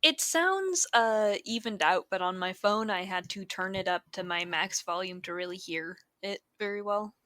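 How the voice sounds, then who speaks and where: Bright-voiced woman co-hosting a podcast. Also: medium pitch, normal speed, hardly any pauses, no echo, clean studio sound. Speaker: podcast co-host. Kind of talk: casual podcast chat.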